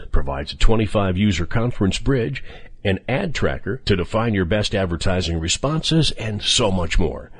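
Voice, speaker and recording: A man announcing a scripted radio commercial in a polished sales pitch. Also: breathy edge, dry recording.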